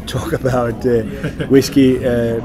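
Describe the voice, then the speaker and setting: A man's voice: mid-range voice, level tone, takes it slowly, a crowd in the background. A Danish man working as a whisky brand ambassador, speaking English in a casual interview, with many fillers and repeated words. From Denmark.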